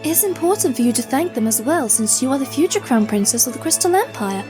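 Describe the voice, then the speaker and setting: A woman's voice: high-pitched, sing-song, fast talker. A woman voicing a proper, composed and patient pony royal attendant in a cartoon drama. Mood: patient, instructive. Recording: music behind the voice.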